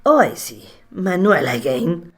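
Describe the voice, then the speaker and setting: Low-voiced sarcastic woman. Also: sing-song, unhurried, husky. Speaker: actress heckling the narrator. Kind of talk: comic radio play banter.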